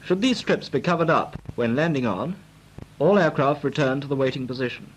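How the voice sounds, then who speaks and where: An actor playing a formal, precise British naval flying instructor lecturing trainees in a 1940s instructional film. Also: male voice, on the high side, a little fast, lightly acted. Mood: formal, instructive. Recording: noisy, crackly 1940s film soundtrack.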